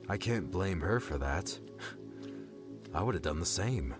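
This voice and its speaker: Casting: male actor for weary, kind adventurer, deep and unhurried, regretful, audiobook drama with music and rain. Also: expressive. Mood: regretful, resigned. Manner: reassuring, subdued, measured. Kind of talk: audiobook character acting.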